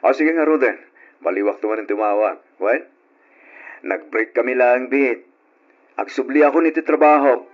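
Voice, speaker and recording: A man's voice: medium pitch, normal speed, long pauses, natural voice. An actor playing a doting young seaman husband and new father, exuberant and teasing, with a Filipino accent, in an old-style radio drama. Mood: tender, homesick. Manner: exuberant, teasing, given to whooping and chuckling. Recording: dull, muffled old radio drama recording.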